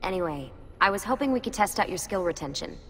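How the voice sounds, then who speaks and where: An actress playing a composed, reassuring young team leader in a video game cutscene. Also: female voice, medium pitch, animated, quick pace, slight background noise, close to an everyday voice. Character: Lucy, composed, reassuring young woman leading operatives.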